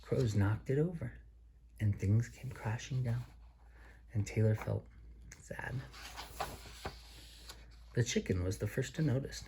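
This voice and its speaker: A man reading a children's picture book aloud as a father to his child, expressively and with drawn-out emphasis. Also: medium pitch, noisy background.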